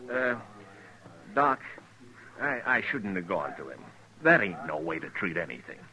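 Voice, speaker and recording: A man's voice: low voice, very animated, a little slow, bright, forceful tone, heavily acted, low-quality recording. A man playing a sheepish small-town bartender in a 1950s radio drama.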